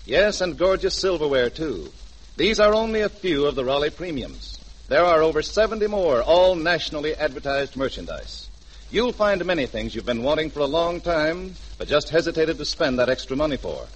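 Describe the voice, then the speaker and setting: A man's voice: fairly high pitch, big pitch swings, normal speed, breathy edge, low-quality recording. A man delivering a polished, persuasive commercial as a 1940s radio announcer.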